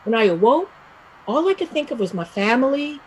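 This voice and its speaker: A woman playing a restless young Irish village woman set on emigrating, speaking emphatically and deliberately in a stage play reading. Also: high-pitched, very animated, a little hiss or hum. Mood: earnest, hopeful.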